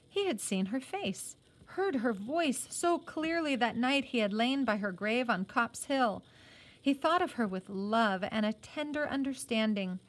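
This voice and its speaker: A woman reading a novel aloud as a teacher would, with short explanatory asides. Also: a little high.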